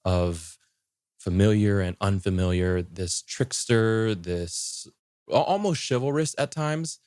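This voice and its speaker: A man explaining folklore in casual conversation as a podcast guest, haltingly rephrasing and repeating himself as he goes. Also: fairly low pitch, darkish tone, dry recording, studio quality.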